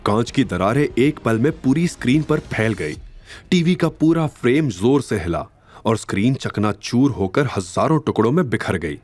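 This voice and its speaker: A man's voice: medium pitch, a little mellow. A man narrating a scripted story in a dramatic, suspenseful manner.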